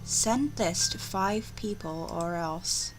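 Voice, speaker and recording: A woman's voice: on the low side, takes it slowly, poor audio. A woman hosting a gaming video, reading a story aloud theatrically with casual commentary. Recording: faint music behind.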